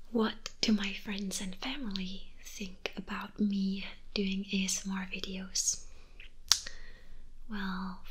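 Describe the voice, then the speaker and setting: A Danish woman in her twenties, whose first language is Danish, softly whispering answers to viewer questions in an ASMR video from her bedroom. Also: medium pitch. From Denmark. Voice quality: breathy whisper. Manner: soft, slow, unhurried whispering. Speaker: ASMR creator. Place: bedroom, indoors.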